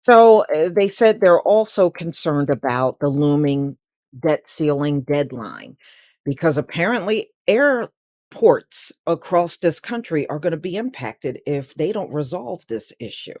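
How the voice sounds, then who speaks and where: Female commentator, conversational and a little halting, casual news reaction, close dry sound. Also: deep voice, sing-song, a little mellow, no echo.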